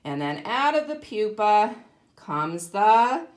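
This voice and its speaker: A woman teaching young children in a read-aloud lesson, explaining simply and deliberately, with emphasis and questions to the listener. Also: medium pitch, sing-song. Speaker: kindergarten teacher.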